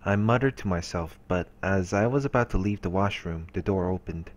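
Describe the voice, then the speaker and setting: A man with a deep voice reads first-person narration from a visual novel. He is hosting a playthrough and reading the text aloud. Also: fairly quick, slight background noise.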